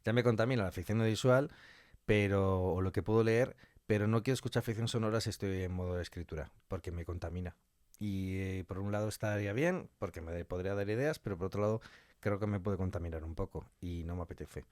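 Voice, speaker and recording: Low-voiced man from Spain, close and dry. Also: fairly quick, darkish tone, no echo. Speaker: interview guest, audio fiction scriptwriter. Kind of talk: casual podcast conversation.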